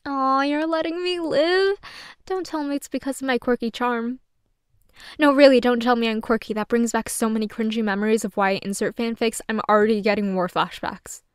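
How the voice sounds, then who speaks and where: A woman's voice: on the high side, steady tone, fairly quick, clear, resonant tone, no echo, clean studio sound, lightly acted. A young adult woman playing a sardonic, flustered, self-deprecating young woman who rambles and swears casually in an audio roleplay monologue. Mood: wry, rambling.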